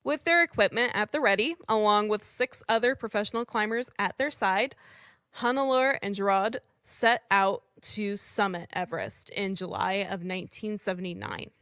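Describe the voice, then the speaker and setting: A woman hosts a podcast and tells a true story in a relaxed, chatty way, pausing to apologize for how she pronounces names. Her voice sits at a middle pitch. She is recorded close to the mic in a dry space with no room echo. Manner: conversational, apologizes for mispronouncing names. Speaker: podcast host.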